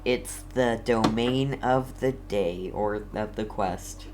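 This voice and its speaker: A streamer giving casual gameplay commentary in a hesitant, low-key way, talking back to the game's characters. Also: slow, background noise. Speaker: game streamer.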